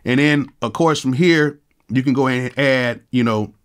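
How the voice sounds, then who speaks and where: A man in his thirties with a cold and a nasal voice, casually walking through music software in a laid-back, slangy way from his home studio. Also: mid-range voice, normal speed, smooth. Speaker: music producer and YouTube host.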